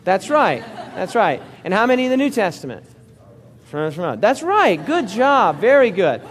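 A male pastor preaching to a congregation in a church hall. His voice sits high and swings widely in pitch. He keeps turning to the room with questions and jokes. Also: a little slow, a faint crowd, a little hiss or hum. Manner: lively, interactive preaching with audience questions and jokes. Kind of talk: sermon.

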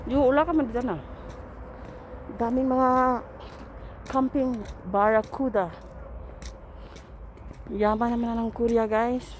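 Slow-talking Filipino woman on a noisy outdoor vlog. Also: on the high side, fairly even intonation. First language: Tagalog. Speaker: vlogger. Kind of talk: casual walking vlog chat. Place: outdoors at a riverside camping area. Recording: outdoor handheld recording with clear background noise.

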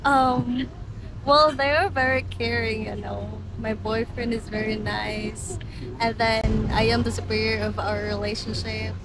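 A woman answering street-interview questions outdoors, hesitant and thoughtful with soft fillers. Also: on the high side, level tone, a little slow, music in the background. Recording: noisy street background.

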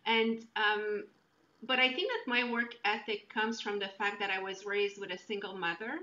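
A middle-aged woman co-hosts a podcast in casual conversation. Her voice is bright and forceful, and the sound is roomy and slightly dull, as on a video call. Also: mid-range voice, moderate pace. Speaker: podcast co-host. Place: indoor room on a video call.

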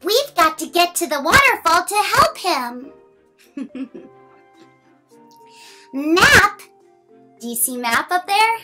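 Very high-pitched, animated woman storyteller. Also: slow, long pauses, over loud music. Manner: exaggerated, playful, addressing young listeners with questions. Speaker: children's storyteller reading picture books aloud. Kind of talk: animated read-aloud for young children.

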